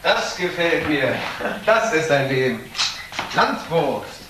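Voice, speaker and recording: An actor playing a mocking, flippant, idle nephew in a German crime drama. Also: male voice, big pitch swings, takes it slowly. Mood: sardonic. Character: sardonic, idle nephew of a stern doctor. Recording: background noise, car rumble in places.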